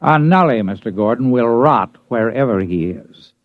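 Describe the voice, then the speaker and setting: An actor playing a grim, detached expert who speaks deliberately, in old-time radio drama. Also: male voice, medium pitch, expressive, dry recording, natural voice. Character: grim, clinical male official coldly warning a reporter. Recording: muffled old radio recording.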